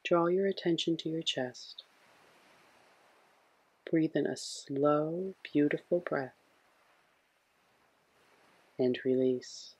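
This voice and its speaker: A man with a husky, breathy voice gently guiding a sleep meditation in a soothing, hushed way. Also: on the high side, takes it slowly, long pauses, rough recording. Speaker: meditation guide.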